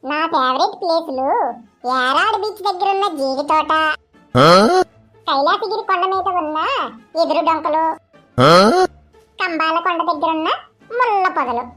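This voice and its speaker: A woman's voice: extremely high pitch, normal speed, pauses often, sharp tone, soft background music. A woman voicing a coy, chatty young bride-to-be in a comic cartoon, sounding innocent and blithe.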